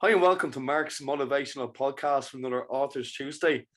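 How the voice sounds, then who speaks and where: A man hosting a podcast interview, upbeat and encouraging, with halting, restarting questions. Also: mid-range voice. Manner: upbeat, encouraging, quick to praise. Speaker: podcast host. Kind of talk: casual interview chat.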